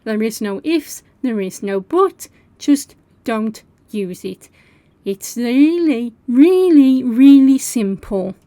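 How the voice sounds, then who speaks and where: A woman's voice: on the high side, dark tone, no echo. A woman hosting reaction commentary, talking in a sarcastic, scolding way. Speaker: commentary host.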